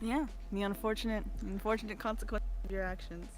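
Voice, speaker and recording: A woman's voice: mid-range voice, steady tone, fairly quick, over music. A sleep-deprived woman guesting on a livestream, chatting casually in a playful, self-deprecating, dramatic way. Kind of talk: casual chat.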